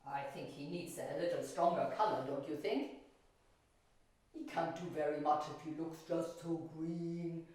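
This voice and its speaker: An older woman teaching a painting lesson to children, chatting gently and musing to herself as she works. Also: sits low, moderate pace. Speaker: art teacher. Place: classroom in front of a chalkboard. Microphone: far camera. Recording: echoey room from far off.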